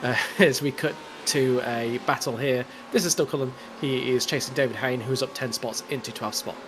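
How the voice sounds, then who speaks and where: Australian man, race commentator, head cold, loud engine noise behind. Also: mid-range voice. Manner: measured play-by-play, explains moves. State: has a cold. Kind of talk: live sim racing commentary.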